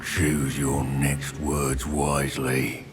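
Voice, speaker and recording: A menacing goblin warlord, with an extremely low voice and a slow, threatening delivery. He is sardonic and sure of himself, as in a fantasy game cutscene, over loud music. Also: completely flat delivery, full character voice. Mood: cold menace. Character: menacing, self-assured male goblin rebel leader. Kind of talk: video game cutscene acting.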